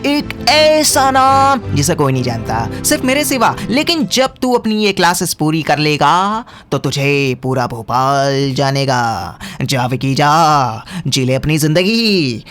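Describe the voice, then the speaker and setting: Over-dramatic young joker, cheeky, animated pitch swings, mock-announcer flourishes, comic radio play. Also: male voice, full character voice. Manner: theatrical, swooping, mock-announcer delivery. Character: Chetan, clownish, cheeky, over-dramatic younger male friend. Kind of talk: comic Hindi audio drama acting. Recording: music behind the voice.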